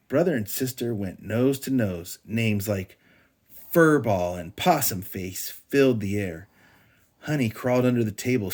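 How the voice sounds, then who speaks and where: A man reads a bedtime storybook aloud in an animated style. His pitch moves widely as he narrates, like a father telling a tale to his child.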